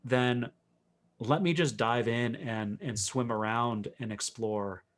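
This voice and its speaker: A man's voice: mid-range voice, slow pace. A man speaking as a guest coach in a conversational interview, thinking aloud and rephrasing as he goes.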